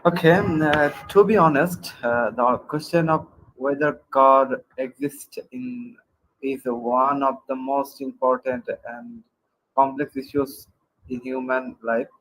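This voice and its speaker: A man from Bangladesh calling into a live-stream debate, making a prepared case with many pauses and fillers. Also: medium pitch, lively intonation, fairly bright tone, low-quality recording. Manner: hesitant, many fillers, reads prepared points. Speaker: caller. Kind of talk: prepared argument in a call-in debate. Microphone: computer mic on a video call.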